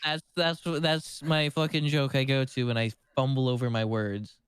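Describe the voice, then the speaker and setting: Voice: mid-range voice, level tone, moderate pace, clear, resonant tone, no room sound. A non-native English speaker bantering casually as a co-host, blunt and joking, swearing freely and stumbling over words. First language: not English.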